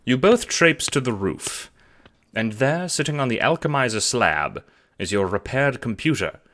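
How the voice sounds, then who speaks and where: A man narrating an audiobook-style drama in the second person with an expressive storytelling delivery. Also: medium pitch, measured pace, slight background noise.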